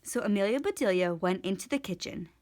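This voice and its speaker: Woman, children's story reader, lively varied intonation, deliberate storytelling, mid-pitched voice. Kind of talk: scripted storybook read-aloud for kids.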